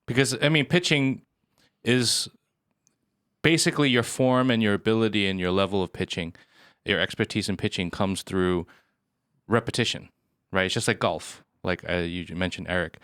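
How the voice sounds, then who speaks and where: A man with a somewhat low voice chats on a podcast, telling a story with energy. The sound is dry and close. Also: normal speed, fairly bright tone, clean tone, dry recording. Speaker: podcast co-host. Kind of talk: casual podcast discussion.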